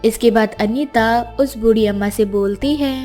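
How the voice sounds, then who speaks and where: A woman's voice: fairly high pitch, a little slow, warm tone. A woman narrating a scripted children's fairy tale as storyteller. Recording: music behind the voice.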